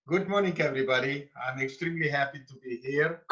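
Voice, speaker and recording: A man of eighty, from Bukovina, telling his life story slowly and carefully as a guest on a video call. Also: a little high, fairly bright tone. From Bukovina (Romania/Ukraine). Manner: slow, careful storytelling with pauses. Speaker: Holocaust survivor guest. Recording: dull lo-fi call audio.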